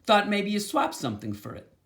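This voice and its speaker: Guy doing a cocky kid hustler, pitched up, bouncy delivery. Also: very animated, a little fast, creaky, fairly bright tone, heavily acted. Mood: persuasive. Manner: persuasive, cocky. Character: smooth-talking young boy trader.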